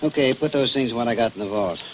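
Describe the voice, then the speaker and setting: A man's voice: mid-range voice, fast, close to an everyday voice, rough recording. Hard-nosed male police chief, acted by a man, mid-range voice, authoritative, 1940s radio style. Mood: firm, businesslike. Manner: blunt, authoritative, threatening. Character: tough, no-nonsense small-town police chief. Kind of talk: 1940s radio drama acting. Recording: hissy vintage radio recording.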